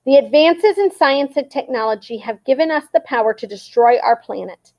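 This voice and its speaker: A woman reading aloud, voicing a man giving a loud protest speech through a megaphone. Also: high-pitched, expressive, normal speed, voice pushed up. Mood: impassioned. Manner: loud speech-making delivery. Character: excited shouting male environmental campaigner.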